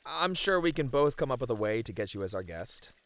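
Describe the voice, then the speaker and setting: A man's voice: mid-range voice, very animated, brisk, fairly bright tone. A man playing a friendly, slightly flustered gaming tournament manager in an ASMR roleplay, chatting casually with some hesitation. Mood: surprised, agreeable. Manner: casual, chatty, hesitant filler-laden banter.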